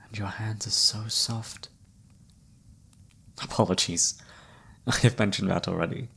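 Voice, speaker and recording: Man playing a husky, flirty fantasy palm reader. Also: on the low side, steady tone, measured pace, bright, forceful tone, heavily acted. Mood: flirty, soft. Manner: teasing, dramatic, wheedling. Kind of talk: flirty ASMR fantasy roleplay.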